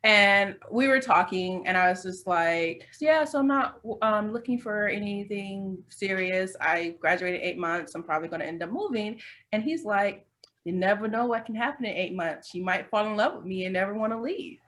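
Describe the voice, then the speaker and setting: A woman's voice: mid-range voice, expressive, moderate pace, fairly bright tone. A woman in her thirties telling candid stories in a casual chat, with lots of fillers and restarts. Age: 30s. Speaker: podcast co-host.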